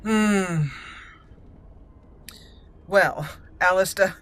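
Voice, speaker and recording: Female gamer-storyteller, very unhurried delivery, bright crisp edge, theatrical in-character replies, faint game noise behind. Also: lowish voice. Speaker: gaming channel host. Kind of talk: roleplay let's play, in-character replies and commentary.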